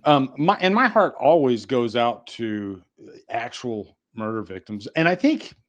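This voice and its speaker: Podcast host, male, average pitch, relaxed informal wording in a group discussion. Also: measured pace, highs cut off. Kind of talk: casual chat.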